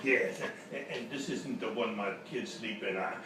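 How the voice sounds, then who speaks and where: A man's voice: on the low side, moderate pace, distant mic, noisy background, close to an everyday voice. A man playing an aging, easygoing blues musician in a live comedy sketch, with a folksy, rambling, oblivious manner. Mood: chuckling, reminiscing. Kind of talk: live radio-style comedy sketch acting. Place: live show at a hotel venue. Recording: echoey live room, picked up from afar. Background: acoustic guitar.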